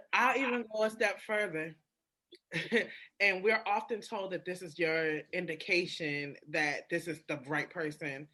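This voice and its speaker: A woman's voice: on the low side, unhurried, pushed and bright. A woman hosting a candid podcast conversation, slangy and emphatic, often repeating her phrases.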